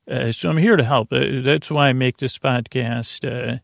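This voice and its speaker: A man with a mid-pitched voice full of vocal fry hosts a sleep podcast. He wanders through a whimsical monologue, stumbling and doubling back on his words while keeping the listener reassured and accompanied. The sound is dry, with no room around it. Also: no echo. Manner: halting, meandering, stammering and repeating words; reassuring, companionable. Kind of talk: rambling, whimsical bedtime monologue.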